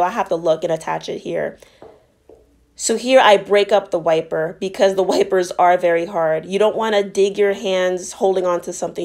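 A woman's voice: mid-range voice, a little mellow. A woman narrating a workout as a fitness instructor, explaining each move in an encouraging, instructional way.

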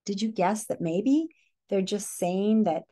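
A middle-aged American woman gives a picture book read-aloud at an everyday mid-range pitch. Her delivery is expressive and instructive, moving between the text and questions addressed to young listeners. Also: slow pace. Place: living room, indoors.

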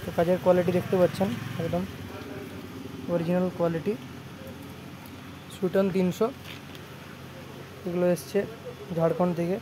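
A man's voice: sits high, level tone, moderate pace, long pauses. A young man with a West Bengal Bengali accent, talking informally and repetitively in a casual showcase vlog on a handheld phone in a repair shop. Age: young adult. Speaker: electronics repair shop vlogger. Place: cluttered indoor repair shop. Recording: noisy handheld phone recording.

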